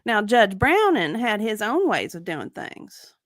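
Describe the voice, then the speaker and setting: A woman's voice: medium pitch, sing-song, unhurried, fairly bright tone, no echo, studio quality. A woman reading the part of a guarded, evasive witness in a dramatic deposition reading, with careful, hedging answers. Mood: evasive, earnest. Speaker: dramatic reader.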